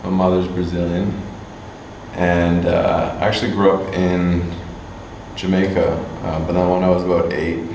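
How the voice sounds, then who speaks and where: Deep-voiced man, halting and slow. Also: flat delivery, creaky, darkish tone. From Canada. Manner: halting, lots of fillers and restarts. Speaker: musician and agency owner. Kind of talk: casual interview answers. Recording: distant mic, echoey room, faint hum.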